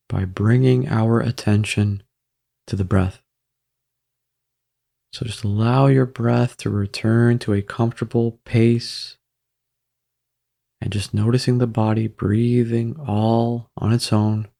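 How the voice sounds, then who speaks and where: Slow, low male meditation guide, mellow and gravelly. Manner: gentle, measured instruction with frequent pauses.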